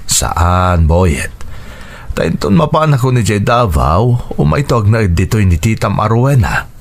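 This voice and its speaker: A man's voice: lowish voice, big pitch swings, takes it slowly, exaggerated voice. An actor with an Ilocano accent playing an earnest grown son and father in a Philippine radio drama. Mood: insistent, respectful. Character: earnest adult son, widowed father planning to remarry.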